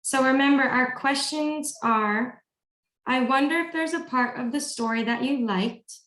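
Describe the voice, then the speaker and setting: A woman facilitator with a fairly high voice runs an online group session. Her delivery is polite and instructional. The sound is clearly roomy and echoey, as on a video call. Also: unhurried, fairly bright tone. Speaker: training facilitator.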